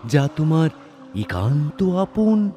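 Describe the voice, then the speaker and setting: A man's voice: on the high side, big pitch swings, slow pace. A man playing a crafty god disguised as a begging Brahmin, goading in formal dramatic verse. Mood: scornful, challenging. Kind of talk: dramatic Bengali verse recitation. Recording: studio voice over soft music.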